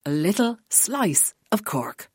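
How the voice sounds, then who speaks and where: A woman's voice: medium pitch, sing-song, slow, a little mellow, breathy edge, faint music behind. An Irish woman chatting enthusiastically as a radio interviewer.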